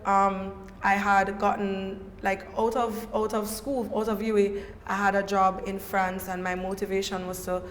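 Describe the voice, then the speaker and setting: A woman's voice: mid-range voice, little pitch movement, unhurried, hardly any pauses, a little hiss or hum. A Jamaican woman telling her story as a podcast guest, chatty and full of fillers, slipping into patois at times. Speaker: guest, brand co-founder. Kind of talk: casual podcast interview. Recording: echoey hall with long reverb.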